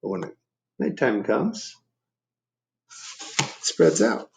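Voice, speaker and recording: Animated, creaky-voiced man reading to children. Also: on the high side, very slow. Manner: explains and asks the listeners questions. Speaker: storybook reader. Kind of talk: read-aloud for children with chatty asides.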